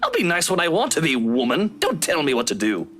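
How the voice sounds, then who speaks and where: A woman's voice: low-pitched, wildly swooping pitch, brisk, fairly bright tone, a little hiss or hum, full character voice. A woman voicing a vain, prickly young man with a curt, arrogant manner in a visual novel game. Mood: irritated, defiant. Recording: acoustic guitar music behind the voice.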